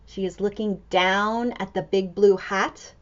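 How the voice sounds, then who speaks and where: A woman storyteller reading a picture book to young children in an animated, exclamatory way, full of expressive wonder. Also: mid-range voice, unhurried, dull audio. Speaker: storytime reader and teacher.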